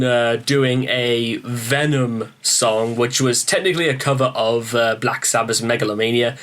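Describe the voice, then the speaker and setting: Rambling, joking man hosting reactions. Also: mid-range voice. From Newcastle upon Tyne, northeast England. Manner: joking, swearing, self-mocking, often stammers on restarts; addresses viewers as ladies and gentlemen. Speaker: reaction video host. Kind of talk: rambling casual chat to camera.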